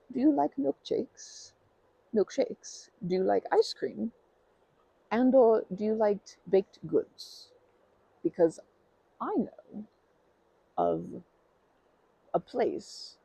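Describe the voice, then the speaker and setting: A performer plays a nervous stranger shyly flirting in an ASMR roleplay. The voice is mid-pitched, soft-edged and mellow with a creaky grain, recorded close and dry. The speech is polite, ornate and full of hesitant, apologetic detours. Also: dry recording, exaggerated voice, low-quality recording. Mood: eager, inviting.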